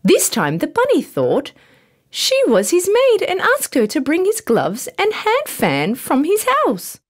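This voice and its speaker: A woman reading a scripted bedtime story for children in an expressive, deliberate storytelling manner. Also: high-pitched. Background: background music.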